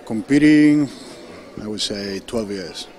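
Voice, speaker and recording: A man's voice: lowish voice, takes it slowly, darkish tone. A man from Argentina, a bodybuilding winner, answering briefly and upbeat on a big stage. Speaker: contest winner. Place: large indoor stage with audience.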